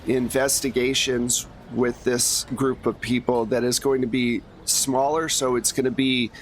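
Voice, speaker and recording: Male podcast host and game master, average pitch, informal chat, faint noise behind. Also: flat delivery, a little slow. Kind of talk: casual wrap-up chat with players.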